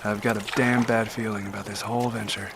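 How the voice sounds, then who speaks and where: A man's voice: fairly low pitch, little pitch movement, brisk. A man playing a cautious, dutiful, deferential navy sailor in an audio drama. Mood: wary, deferential. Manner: clipped, deferential. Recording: clear water noise behind voice.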